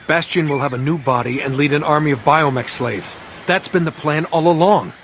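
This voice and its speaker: An actor playing a calm male mutant team leader, explaining plans steadily in a game cutscene. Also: a little high, moderate pace, slight background noise, lightly acted. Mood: serious.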